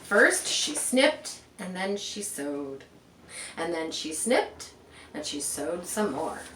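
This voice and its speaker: Female storybook reader, lowish voice, expressive with chatty asides for young listeners; roomy sound. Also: slow pace. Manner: expressive, commenting aside and asking rhetorical questions. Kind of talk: read-aloud picture book with chatty asides to young listeners. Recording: roomy, distant mic with faint background noise.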